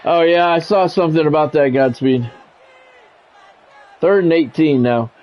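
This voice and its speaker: A man's voice: on the high side, measured pace. An American man doing live play-by-play of a football video game in short sportscaster phrases, chatting casually with stream viewers. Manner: radio-style sportscaster calls in short clipped phrases. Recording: dull lo-fi mic with background music. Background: music.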